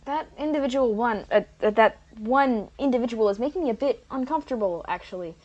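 Jittery prospective student, audio comedy female actor: high voice, very animated pitch, informal, hesitant, exasperated. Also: a little fast, lo-fi sound. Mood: nervous. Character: anxious, foul-mouthed prospective student on a campus tour. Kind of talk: comedic audio drama acting.

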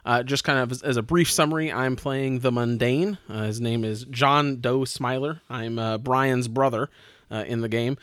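American male podcast host, mid-range voice, relaxed tabletop game chat, very little room sound. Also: medium pitch, moderate pace, no room sound, studio quality.

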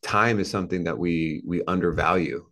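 This is a man in his thirties with a low-pitched voice. He talks like a motivational podcast guest, earnest and emphatic. He builds his points through repetition and checks in with short rhetorical tags. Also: normal speed, sharp tone. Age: 30s. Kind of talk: motivational interview talk.

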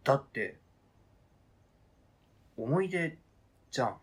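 A man acting a hesitant, flustered partner in an amateur radio drama, protesting in a halting, conversational way. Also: medium pitch, expressive, breathy edge. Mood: faltering protest.

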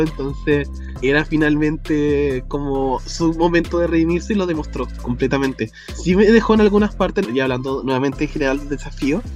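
A chatty Chilean man talking in a slightly high register, with quick laughs and loose slang. A faint bed of music sits under his voice. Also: muffled recording. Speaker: podcast co-host. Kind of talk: casual chat.

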